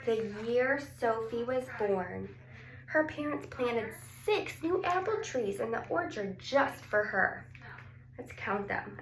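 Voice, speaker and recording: Slow-paced woman reading a picture book. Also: on the high side. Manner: drawn-out words, questions to young listeners. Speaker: story reader for young children. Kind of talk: picture-book read-aloud. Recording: roomy echo, some background noise.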